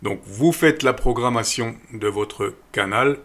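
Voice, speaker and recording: A middle-aged man calmly walking viewers through an app step by step, with frequent fillers. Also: medium pitch, a little slow. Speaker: radio equipment channel host. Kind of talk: screen-recorded app tutorial voice-over.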